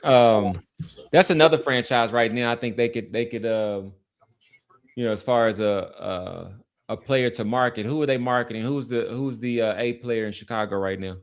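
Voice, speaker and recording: A man's voice: medium pitch, normal speed. A man co-hosting a sports podcast in casual conversation, often repeating words as he builds a point.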